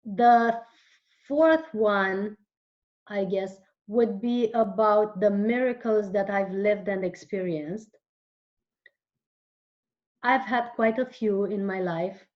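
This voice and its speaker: A woman whose first language is Romanian, answering interview questions as a guest author in a slow, searching way with frequent restarts. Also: medium pitch, lo-fi sound.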